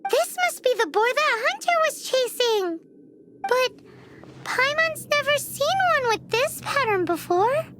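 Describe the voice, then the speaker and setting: Game role: excitable little fairy sidekick, extremely high and squeaky, swooping intonation, fretful. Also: female voice, measured pace, heavy background noise, heavily acted. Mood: amazed. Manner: exclaiming, refers to self in third person. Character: tiny excitable childlike fairy companion, fretful and outspoken. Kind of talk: video game character acting.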